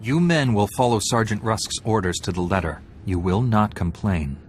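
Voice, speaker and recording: An actor playing a cool, intimidating Jedi warrior in a video game, speaking in a terse, authoritative way. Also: male voice, on the low side, moderate pace, soft background music, natural voice. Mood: commanding. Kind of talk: dramatic video game acting.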